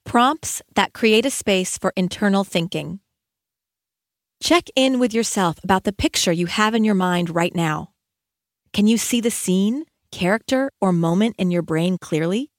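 A woman educator reading her own audiobook as scripted narration, with measured, emphatic phrasing and deliberate pauses. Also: mid-range voice, no room sound, clean studio sound.

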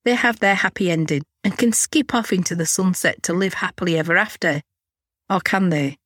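A woman in her forties hosting a chatty solo podcast, candid and a little cheeky, her voice slightly hoarse from a cold. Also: on the low side, moderate pace, fairly bright tone, no echo, clean studio sound. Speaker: podcast host.

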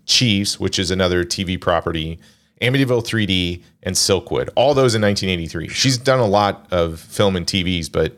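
A man's voice: deep voice, a bit bright. A man hosting a casual movie podcast, joking and rambling as he leads the talk. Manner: jokey, rambling, self-deprecating. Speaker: podcast host.

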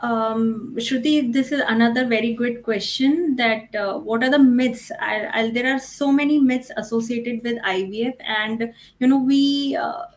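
Female medical expert for a podcast interview, Indian accent, slightly high voice, explains at length. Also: measured pace. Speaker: fertility doctor, podcast guest.

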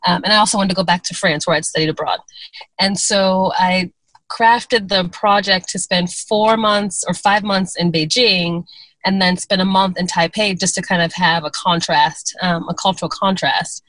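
A woman's voice: on the low side, fairly even intonation, normal speed, fairly bright tone, no room sound, rough recording. A woman in her forties from Chicago, answering interview questions in a reflective, storytelling way. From Chicago, USA. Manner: reflective, storytelling, light laughs. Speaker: podcast guest.

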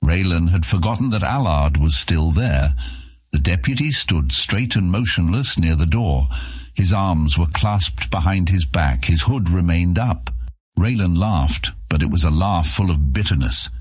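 A man's voice: low voice, animated, measured pace, husky, dry recording. A man narrating a fantasy audiobook as a scripted story, in the role of narrator.

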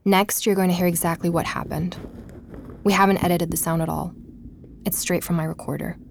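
A woman's voice: on the low side, fairly even intonation, brisk, creaky, slight background noise. An actress playing a curious, polite woman investigator in a naturally spoken audio drama. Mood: reflective, suspenseful. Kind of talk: audio drama, intimate narration and natural dialogue.

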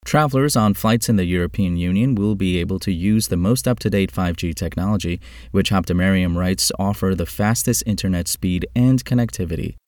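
Low-voiced man reading news. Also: brisk, darkish tone, no room sound, studio quality. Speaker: news podcast host. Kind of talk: scripted news narration.

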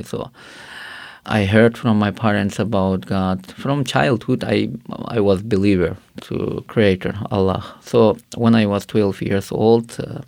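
Man, English, gravelly, dry studio sound. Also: fairly low pitch, darkish tone, no room sound. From Karakalpakstan, Uzbekistan. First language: not English. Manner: halting non-native English, earnest, many fillers. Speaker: guest. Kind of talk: personal testimony interview.

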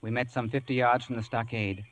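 A man playing the earnest narrator of an adventure in measured, literary radio storytelling. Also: mid-range voice, fairly even intonation, a bit bright, close to an everyday voice. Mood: grave recounting. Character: earnest male narrator recalling boyhood adventure. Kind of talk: dramatic scripted radio narration, 1950s. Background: music.

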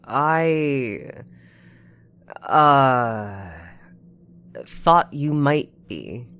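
An actor in an audio drama playing a calm, unbothered friend who says little and understates it. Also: medium pitch, drawn-out words, smooth, full character voice. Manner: understated, laconic. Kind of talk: naturalistic audio drama acting.

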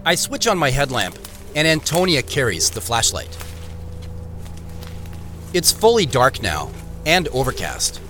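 An actor playing the first-person narrator of a mystery audio drama, telling it in a measured, descriptive way. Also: male voice, mid-range voice, huge pitch swings, bright, forceful tone, soft background music, exaggerated voice. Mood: intent, suspenseful.

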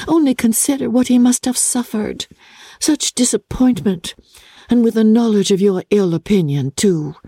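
Woman voicing a gentle, tender-hearted young gentlewoman. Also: on the high side, husky, no room sound, studio quality, voice pushed up, exaggerated voice. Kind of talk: dramatic classic novel reading.

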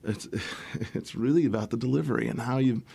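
Man, middle-aged, worn raspy voice, gravelly, rambling chat, mid pitch. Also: a little fast, dark tone. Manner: rambling, restarts often, swears casually. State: tired raspy voice at the end of a tour. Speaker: musician guest. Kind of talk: casual interview chat.

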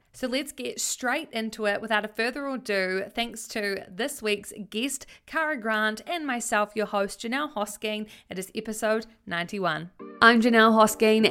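A woman's voice: medium pitch, a bit bright, smooth. A woman working as a podcast host, speaking in an effusive, encouraging way as she introduces and interviews.

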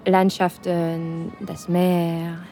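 Mellow young French woman. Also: lowish voice, monotone, drawn-out words, faint music behind. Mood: inviting. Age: young adult. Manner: casual, hesitant, searching for German words. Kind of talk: intimate audio drama acting.

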